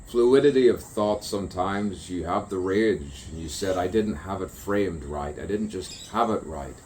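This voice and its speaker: A man's voice: low-pitched, unhurried, darkish tone, a little hiss or hum. A man delivering a stream-of-consciousness spoken poem, musing and repeating rhyming phrases. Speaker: poet.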